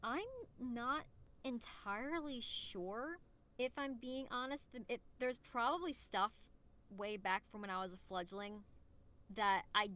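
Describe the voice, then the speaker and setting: A woman's voice: on the high side, a little slow, bright, forceful tone. A woman chatting casually as an interview guest, talking informally and thinking aloud.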